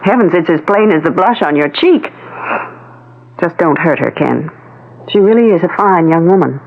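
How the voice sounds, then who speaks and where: An actress playing a composed but jealous middle-aged wife, knowing and foreboding, in a 1970s radio drama. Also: female voice, on the low side, animated, normal speed. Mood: grave foreboding. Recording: thin, narrow-band 1970s radio recording.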